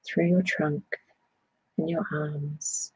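A woman leading a guided body scan meditation, speaking in a soothing, gentle way with unhurried phrasing. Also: deep voice, flat delivery, breathy edge, no echo, low-quality recording.

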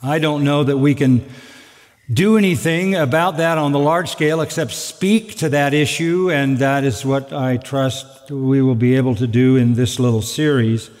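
An older male pastor preaching from a pulpit, measured and earnest with dry asides. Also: medium pitch, a little mellow. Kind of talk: expository sermon. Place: large church sanctuary at a wooden pulpit. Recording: long reverb of a large sanctuary.

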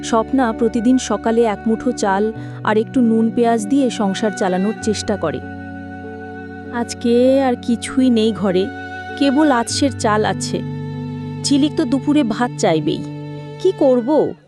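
A woman narrating a moral cartoon story in a solemn, measured way. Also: a little high, over music.

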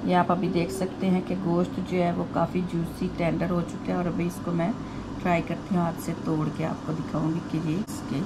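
A woman with a sore, hoarse throat hosting a home cooking video, chatting her way through each step. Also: on the low side, little pitch movement, brisk, very noisy. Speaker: cooking channel host. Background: frying and sizzling in a kitchen.

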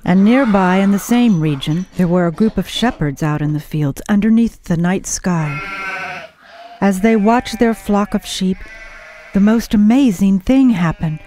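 Mellow woman narrator. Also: medium pitch, measured pace, a little hiss or hum. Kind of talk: dramatic scripted storytelling in old radio style.

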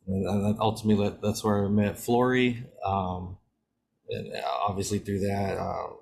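A man in his thirties from American Samoa talks calmly as a podcast guest, steady, with a few fillers. His voice sits low. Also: normal speed, gravelly, slight background noise. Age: 30s. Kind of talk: casual chat. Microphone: desk microphone.

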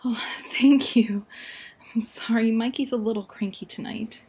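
Woman acting high-voiced tender young mother. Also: steady tone, unhurried, darkish tone, a little hiss or hum. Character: struggling single mother, tender and apologetic. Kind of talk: audio drama acting.

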